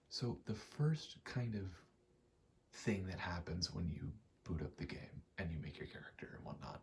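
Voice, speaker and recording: Very low male voice, creaky fry, soft ASMR murmur, casual slangy rambling, faint background noise. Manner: soft-spoken, often dropping into whisper; slangy, profane, meandering. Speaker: ASMR video host.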